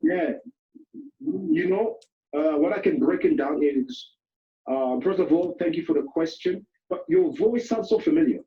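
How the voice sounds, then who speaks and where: A man with a fairly high voice talks about patience and humility in a loose, repetitive way, often adding "you know". The sound is roomy and dull, like an online call. He speaks with the ease of a preacher among his own people. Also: measured pace. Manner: warm, repeats "you know", exclamations like hallelujah. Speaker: preacher answering questions. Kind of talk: casual spiritual talk on a call. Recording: echoey, dull lo-fi call audio.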